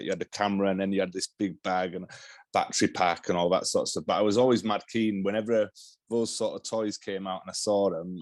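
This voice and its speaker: A man's voice: sits low, a little mellow, dry recording. A man in his forties with a Yorkshire accent, talking as an interview guest, rambling and restarting his sentences often. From Yorkshire, England. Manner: rambling with many restarts and repeated words. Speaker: TV director, guest. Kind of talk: casual interview talk.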